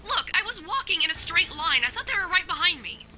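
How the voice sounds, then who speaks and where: High, bright, feisty young female soldier. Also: very animated, quick pace, a little hiss or hum, heavily acted. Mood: defiant. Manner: sassy, combative. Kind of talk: audio drama acting.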